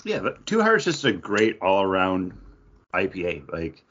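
A man's voice: sits low, huge pitch swings, moderate pace, fairly bright tone, slightly rough, muffled recording. An American man co-hosting a podcast, chatting in a casual and informal style. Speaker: podcast co-host. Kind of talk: casual, joking chat.

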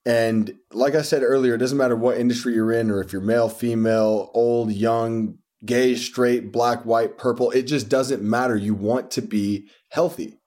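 Guy guesting as a fitness coach, mid-pitched, earnest and rambling, restarts a lot. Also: fairly even intonation, normal speed, hardly any pauses, darkish tone. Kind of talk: casual interview storytelling.

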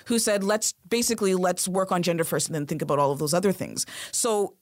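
A woman's voice: lowish voice, fairly quick, no echo, clean studio sound. A woman interview guest, talking in a direct, emphatic way. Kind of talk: interview conversation.

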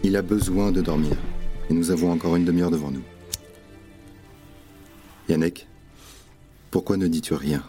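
An actor playing a steady, caring leader of a revolutionary cell in an audio drama, measured and reassuring. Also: male voice, sits low, faint music behind, lightly acted. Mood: concerned. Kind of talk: French audio drama acting.

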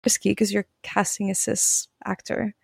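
A woman's voice: medium pitch, little pitch movement, normal speed, gravelly, breathy edge, soft background music. A woman co-hosting a podcast who is not a native English speaker, talking casually and thoughtfully while searching for her words. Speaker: podcast co-host. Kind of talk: casual film discussion.

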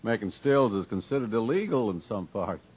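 Cagey, low-voiced backwoods schemer. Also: male voice, animated, normal speed, slightly rough. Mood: dry, cagey. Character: shady, dry, cagey backwoods still-maker man. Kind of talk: 1950s American radio drama acting. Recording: dull 1950s radio broadcast recording.

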